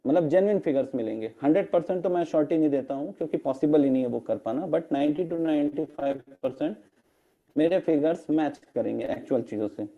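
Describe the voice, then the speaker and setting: Hoarse man explaining a spreadsheet. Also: on the high side, fast talker, phone-quality sound. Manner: repeated "friends" address, mixes English terms into Hindi. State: hoarse throat, coughing. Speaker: crypto earnings explainer. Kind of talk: screen-share walkthrough of a spreadsheet. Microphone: online meeting audio.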